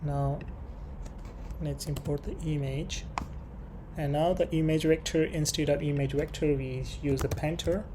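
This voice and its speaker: A programming tutorial presenter talking through code unscripted, haltingly thinking aloud while working. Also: mid-range voice, steady tone. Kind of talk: unscripted screen-share coding tutorial. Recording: clear background noise with keyboard typing.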